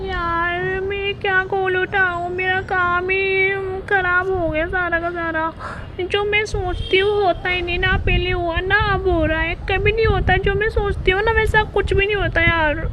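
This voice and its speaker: Man doing a young married woman, very high voice, plaintive, scheming, comedy phone skit, dull noisy recording. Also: flat delivery, measured pace. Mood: frustrated. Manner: plaintive, complaining. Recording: muffled, noisy phone-call style recording.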